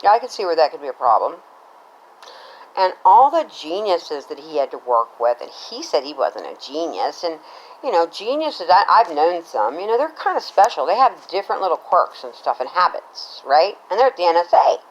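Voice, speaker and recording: An American woman in middle age with a fairly low voice that moves up and down in a lively way. She tells a personal story casually and ramblingly, checking in as she goes. The recording sounds slightly dull and lo-fi. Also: moderate pace. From Cincinnati, Ohio, United States. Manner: rambling, conversational, often checks in with "right?".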